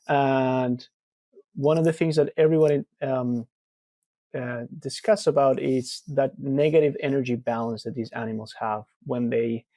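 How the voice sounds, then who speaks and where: A man from Argentina in his thirties, a researcher answering interview questions in a relaxed, explanatory way with frequent fillers. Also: mid-range voice, unhurried, no echo. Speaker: guest researcher.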